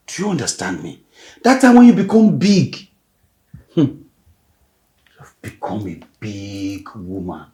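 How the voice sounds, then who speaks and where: A secretive father with a coaxing, hushed delivery. His voice moves up and down a great deal, and he speaks slowly and deliberately, dropping to near-whispers and then rising again. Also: on the high side, heavily acted. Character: secretive scheming father comforting his daughter.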